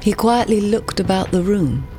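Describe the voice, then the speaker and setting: An actress playing a composed, haunted woman narrating her family past in an audio drama, in measured, literary, portentous phrases. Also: medium pitch, animated, warm tone, natural voice. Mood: ominous. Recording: prominent music bed under voice.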